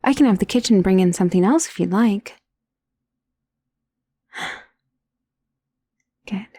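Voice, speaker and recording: A woman playing an ancient, doting, genteel female vampire in an intimate ASMR roleplay, speaking softly and tenderly, often in a whisper. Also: medium pitch, no echo, natural voice. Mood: doting, guarded. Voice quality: soft, breathy, often dropping to a whisper. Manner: slow, tender, teasing, genteel endearments. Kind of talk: ASMR roleplay, soft intimate acting to the listener.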